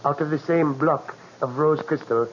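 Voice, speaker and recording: On a dull old radio recording with faint noise, a man plays a solemn shopkeeper in a fairly high voice. He is formal, measured and courteous in the manner of 1940s drama. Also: close to an everyday voice. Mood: solemn, polite. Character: solemn, courteous Chinese male curio shopkeeper.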